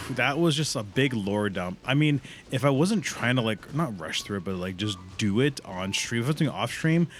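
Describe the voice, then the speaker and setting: A man with a fairly low voice streams a game with music playing behind him. He thinks aloud in loose, informal commentary and swears freely. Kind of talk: casual livestream commentary.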